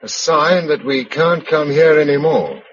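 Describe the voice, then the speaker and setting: A man's voice: fairly high pitch, animated, measured pace. An actor with an English accent playing a practical, watchful male survivor in a vintage radio drama. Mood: somber. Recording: dull, noisy old radio recording.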